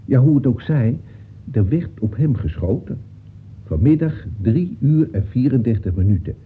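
A man's voice: medium pitch, animated, moderate pace, lightly acted. A man playing a chatty, deferential police officer in a Dutch radio play, talking in a roundabout official way. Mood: chatty, matter-of-fact. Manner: roundabout, official, punctuates with polite address. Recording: muffled, noisy old radio play recording.

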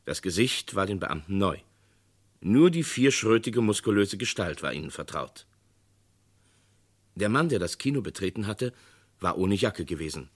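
A man giving measured, dramatic narration of a radio crime play. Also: deep voice, expressive.

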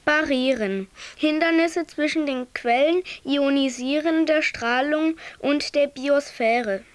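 Slow, high-voiced reciter of definitions. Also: female voice, no room sound. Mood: measured recital. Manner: deliberate, drawn-out words. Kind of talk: radio drama, stylized recitation of definitions.

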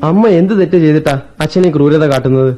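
A man's voice: a little high, quick pace, dark tone, close to an everyday voice. A young man playing Anu, a troubled college student worried about his mother, in a radio play. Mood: earnest. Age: young adult. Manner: earnest, melancholy, reflective. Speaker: radio drama actor. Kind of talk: 1980s Indian radio play acting. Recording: dull old radio recording with faint music.

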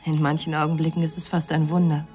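A woman's voice: low-pitched, flat delivery, fast talker, over music, natural voice. An actress playing an inquisitive, earnest woman in a radio crime drama, asking politely. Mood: earnest, searching. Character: inquisitive, hesitant, earnest woman seeking someone.

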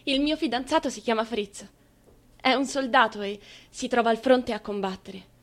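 Actress as a moody, dreamy young woman, higher voice. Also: normal speed, close to an everyday voice. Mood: tender, sober. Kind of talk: theatrical monologue, introspective.

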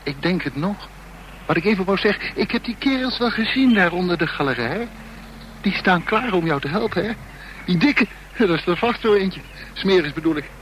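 An actor playing a reckless, taunting young gang leader with a death wish in a Dutch radio drama, in a mocking, excitable manner. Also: male voice, high voice, normal speed. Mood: sly, teasing. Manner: mocking, excitable, breaking into laughter. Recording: noisy radio drama recording.

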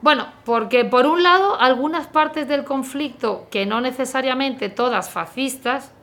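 A woman playing a patient high-school history teacher in an audio drama, explaining in a measured way. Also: fairly bright tone. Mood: explaining, reflective.

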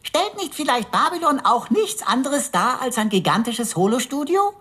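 A woman's voice: high voice, very animated, normal speed, pushed and bright, breathy edge, heavily acted. A woman voicing a cheeky, philosophising computer sidekick in a radio drama, wry, wordy and mock-formal. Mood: wry, musing.

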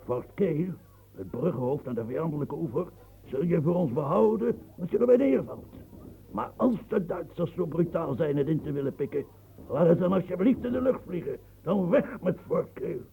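An actor playing an aging fortress-building marshal in a radio play, declaiming grandly and stammering when moved. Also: male voice, on the high side, lively intonation, moderate pace, a little hiss or hum, poor audio. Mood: brooding, declaiming. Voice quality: gravelly, dark and husky. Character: aging royal fortress engineer, proud, brooding. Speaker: military engineer marshal. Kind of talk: radio drama acting.

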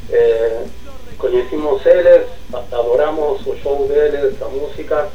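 A man born in Brazil and raised in Argentina, a musician guest speaking haltingly with many repeated words in a casual chat over an internet call. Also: mid-range voice, fairly even intonation, reverberant, lo-fi sound. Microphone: computer mic over internet call.

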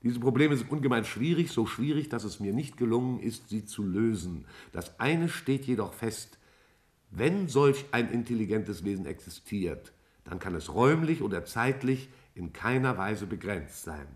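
Man voicing a measured, lofty alien cloud intelligence. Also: lowish voice, lively intonation, close to an everyday voice. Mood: solemn, pondering. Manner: measured, didactic, formal. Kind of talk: 1950s German radio play acting.

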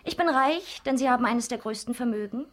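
Woman playing an independent rich daughter, fairly high voice, proud, cool, pointed, radio drama. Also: animated, fairly quick, close to an everyday voice. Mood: determined. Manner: self-assured, pointed.

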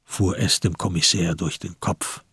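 Male narrator for a radio play, really husky n low, reading literary prose, dry studio sound. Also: fairly even intonation, unhurried, a bit bright, no echo.

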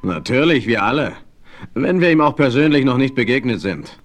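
An actor playing a plain-spoken, cautious leader of westerners in a radio play, speaking deliberately. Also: male voice, mid-range voice, sing-song, close to an everyday voice. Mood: questioning, wary.

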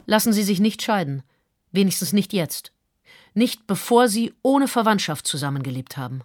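An actress playing a composed, frank woman who gives firm advice in measured speech in a German radio play. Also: medium pitch, lively intonation, a little mellow, dry recording, clean studio sound, close to an everyday voice. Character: composed adult female adviser, frank and firm.

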